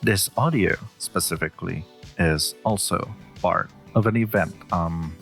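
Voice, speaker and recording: A man's voice: deep voice, gravelly, a bit bright. A man speaking as a channel host, addressing his listeners in an outro.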